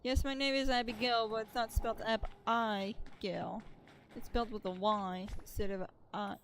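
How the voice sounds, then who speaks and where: A woman's voice: fairly high pitch, steady tone, normal speed, a little hiss or hum. A woman game streamer chatting casually with playful asides while she plays. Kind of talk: casual gameplay commentary.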